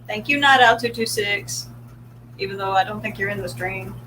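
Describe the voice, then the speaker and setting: A young woman co-hosting a casual livestream, relaxed and agreeable, chuckling now and then. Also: mid-range voice, moderate pace, slight background noise, rough recording. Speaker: co-host, host's wife.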